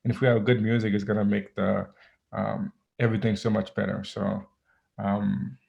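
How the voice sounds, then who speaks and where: A low, gravelly-voiced man talks easily as a guest, drifting through ums and restarts. His voice comes through a dull, lo-fi recording. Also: normal speed. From Haiti. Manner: relaxed, many ums and restarts. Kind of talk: casual interview chat. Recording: dull lo-fi audio.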